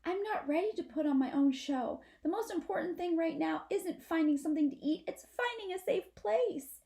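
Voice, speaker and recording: A woman's voice: very high-pitched, animated, moderate pace, gravelly, mellow, pitched above the performer's own voice, heavily acted. A woman voicing a timid, earnest young female possum in a children's storybook. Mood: anxious, thinking. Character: timid littlest young female possum, anxious but reasoning. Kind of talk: children's storybook read-aloud.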